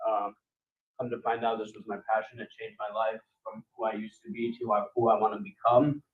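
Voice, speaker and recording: A man from Nepal, a chef, introducing himself to an event audience in a soft, hesitant way. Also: on the low side, flat delivery, fairly quick. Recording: distant, echoey, phone-like audio.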